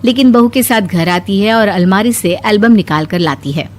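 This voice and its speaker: A woman's voice: medium pitch, moderate pace. A woman narrating a scripted story as its narrator.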